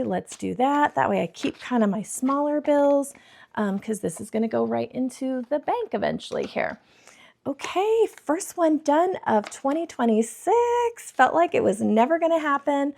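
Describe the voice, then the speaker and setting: Bubbly woman with a croaky throat. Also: high-pitched, animated, measured pace, warm tone. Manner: bubbly, addresses viewers as friends, counts money aloud. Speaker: budgeting vlogger. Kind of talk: casual chatty vlog, counting cash.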